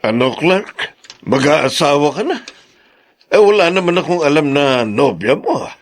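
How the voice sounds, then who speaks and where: A man's voice: on the high side, animated, normal speed. A man playing a mild-mannered, bemused middle-aged father in an audio drama. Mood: bemused, uneasy. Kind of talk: melodramatic radio-style drama acting.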